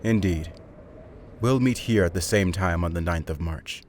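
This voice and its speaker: Man playing low, controlled villainous dealer. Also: normal speed, darkish tone, slight background noise, lightly acted. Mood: cool, businesslike. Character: businesslike male villain trafficker, cool and controlled. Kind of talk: audio drama acting.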